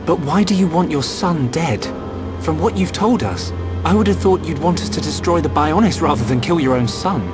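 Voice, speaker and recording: Man as earnest young hero, higher-voiced, expressive. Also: normal speed, natural voice. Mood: earnest, sympathetic. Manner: sincere, questioning. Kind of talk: dramatic video game cutscene acting. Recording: voice under clear background music.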